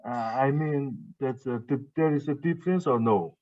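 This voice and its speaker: A man's voice: mid-range voice, normal speed. Hesitant man on a tinny line. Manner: hesitant, non-native phrasing, many fillers. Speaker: conference questioner. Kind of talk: conference Q&A. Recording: dry tinny phone-like line.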